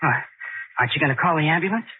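A man's voice: on the high side, racing, slight background noise, lightly acted. An actor playing an anxious, bewildered man in 1940s radio style. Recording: muffled old 1940s radio recording.

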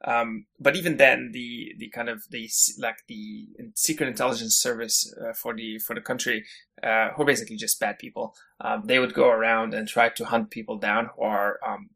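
A man's voice: mid-range voice, steady tone, moderate pace, vocal fry, sharp tone. A man from Syria, a guest on a podcast, telling stories casually with lots of fillers and restarts. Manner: chatty, many fillers and restarts. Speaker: podcast guest, head of engineering. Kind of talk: casual interview storytelling.

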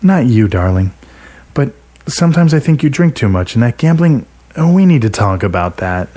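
A man's voice: medium pitch, very animated, moderate pace, mellow, voice pushed up. A man voicing a demanding, nervous young woman, an insistent girlfriend in an audio short story. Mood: gently scolding.

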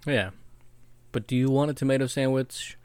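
A man's voice: mid-range voice, fairly even intonation, moderate pace, darkish tone, clean tone, dry recording, clean studio sound. A man co-hosting a podcast in a casual chat, cracking wry jokes. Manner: joking, wry asides. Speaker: podcast co-host.

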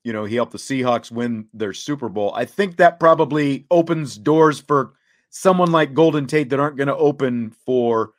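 A man's voice: mid-range voice, moderate pace. A man hosting a sports talk show, chatting casually in conversation.

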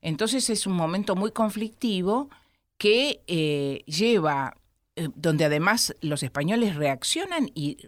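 An Argentine woman historian with an Argentine accent, a guest in an interview who explains at length with many pauses. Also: fairly low pitch, sing-song, dry recording, studio quality. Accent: Argentine Spanish. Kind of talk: studio interview.

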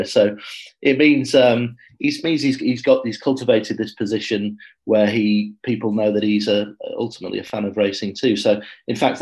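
A man's voice: on the low side, darkish tone, low-quality recording. A man, a motorsport journalist, talking on a podcast in a thoughtful, hesitant way that often repeats words. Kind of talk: podcast discussion.

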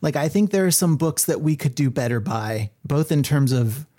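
A man's voice: on the high side, expressive, moderate pace, mellow. A man talking casually and conversationally as a guest on a book podcast. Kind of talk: casual chat.

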